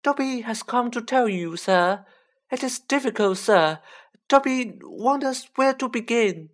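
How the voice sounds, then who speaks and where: A woman voicing a small male house elf, high-pitched and squeaky, servile and overwrought, in an audiobook. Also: expressive, unhurried. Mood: earnest. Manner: deferential, overwrought, speaks of himself in third person.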